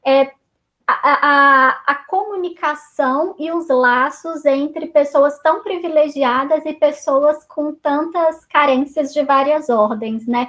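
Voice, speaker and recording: A woman's voice: sits high, slow, a bit bright. A woman with a Brazilian Portuguese accent, a teacher leading an online reading circle, chatty, with many fillers and restarts. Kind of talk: online class discussion. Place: home, video call. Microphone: computer mic on a video call.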